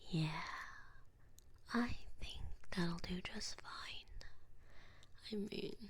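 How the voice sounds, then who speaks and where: A woman's voice: medium pitch, exaggerated voice. A woman playing a sadistic, mock-polite alien enforcer in an ASMR roleplay, taunting sweetly and possessively into a close binaural microphone. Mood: possessive purring. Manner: slow, mock-sweet, taunting, often dropping into breathy whispers.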